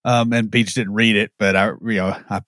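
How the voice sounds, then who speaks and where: A man's voice: lowish voice, little pitch movement, a little fast, fairly bright tone, no echo, clean studio sound. A man co-hosting a podcast, giving blunt, wry opinions and anecdotes. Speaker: podcast co-host. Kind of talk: casual opinionated chat.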